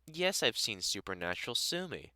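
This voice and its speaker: A man's voice: medium pitch, sing-song, moderate pace, sharp tone, no echo. A British man playing a dry, sarcastic vampire hunter in a conversational audio roleplay, chatting informally to the listener. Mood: wry, teasing. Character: gruff, sardonic but welcoming male vampire hunter.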